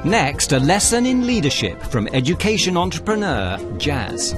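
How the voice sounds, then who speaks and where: A man narrating a reality TV show in crisp, clipped scripted lines. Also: on the high side, huge pitch swings, normal speed. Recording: voice-over over loud music.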